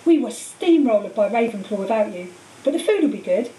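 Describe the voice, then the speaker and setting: Storybook part: woman as blunt chatty lad, breezy, animated swoops, echoing room. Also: fairly high pitch, fairly quick, close to an everyday voice. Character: blunt, chatty, loyal schoolboy friend. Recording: hissy, roomy, distant microphone.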